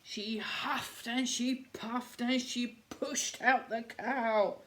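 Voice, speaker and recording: A woman reading a picture book aloud to young children, expressive, with questions and asides to her listeners. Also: fairly high pitch, extremely slow. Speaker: children's story reader.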